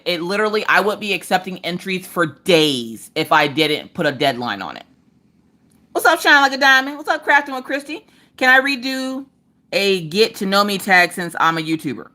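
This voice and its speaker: Fast-talking sassy woman with a stutter. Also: mid-range voice, fairly bright tone. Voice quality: stutters at times. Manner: talks fast to cover a stutter; sassy and playful, swears freely. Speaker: livestream host of a crafting channel. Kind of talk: casual live chat, reading viewer comments.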